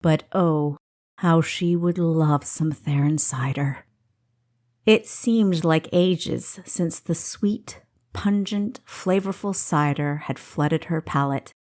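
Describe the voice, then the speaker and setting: A woman reading a story as an audiobook narrator, with a deliberate, expressive storytelling delivery. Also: sits low. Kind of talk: expressive scripted fiction narration.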